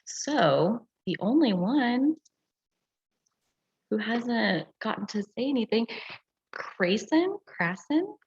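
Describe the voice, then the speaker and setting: A woman's voice: a little high, very animated, slow pace, long pauses, breathy edge. A woman teaching in a casual, reflective way on an online group call, often saying "like" as she explains. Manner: reflective, frequent "like" filler. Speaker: astrology teacher and session facilitator. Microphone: video call. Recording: dull, lo-fi video call audio.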